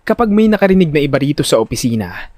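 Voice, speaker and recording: Expressive male storyteller with wide-swinging pitch. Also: quick pace, no echo. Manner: expressive, suspenseful. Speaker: audio drama narrator. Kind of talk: dramatic first-person story narration, scripted.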